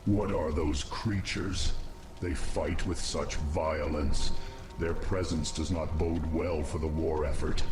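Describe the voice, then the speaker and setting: A man performs a grave undead insectoid commander from a fantasy game. His low voice is formal, measured and resolute, giving orders over clearly audible music. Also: fairly even intonation. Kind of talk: fantasy game cutscene acting.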